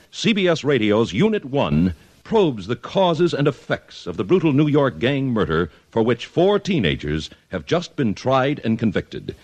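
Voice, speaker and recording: A man's voice: mid-range voice, a little mellow, breathy edge. An American man reading scripted announcements in 1950s radio style. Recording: old 1950s radio broadcast recording.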